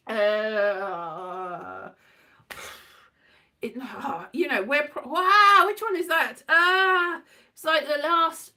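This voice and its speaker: A woman's voice: fairly high pitch, pushed and bright. A British woman chatting casually in a whimsical, digressive monologue, often turning playfully dramatic. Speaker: performer and vlogger.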